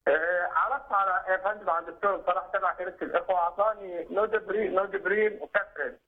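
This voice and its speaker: A man's voice: high voice, fairly even intonation, very fast. A middle-aged man with an Egyptian Arabic accent calling in by telephone to ask a doctor for advice. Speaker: caller seeking advice. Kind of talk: phone consultation. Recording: muffled lo-fi telephone line.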